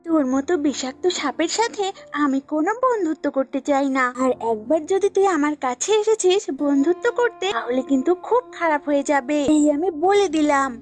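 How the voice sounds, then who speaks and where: A woman's voice: high-pitched, expressive, brisk. A woman voicing a jealous, sulky pet goat in a cartoon fable, complaining and scolding. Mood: angry, spiteful. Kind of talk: cartoon voice acting in a children's fable. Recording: music bed behind the voice.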